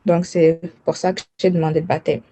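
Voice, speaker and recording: A woman's voice: deep voice, little pitch movement, fairly quick, mellow. A young woman from Benin, a baptism candidate, explaining her reasons in a casual, informal way. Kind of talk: personal testimony.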